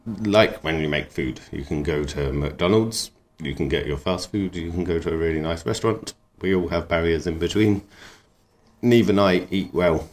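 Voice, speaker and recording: A man's voice: low voice, moderate pace. A man, talking in an easygoing, rambling and enthusiastic way as an interview guest about his craft and cooking. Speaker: knifemaker and cook. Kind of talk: relaxed interview talk.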